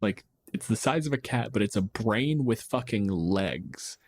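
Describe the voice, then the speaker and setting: A man with a fairly low voice hosts a casual podcast. He explains things in detail with wry emphasis and some swearing. The sound is dry and close. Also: expressive, unhurried, no room sound. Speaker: podcast host.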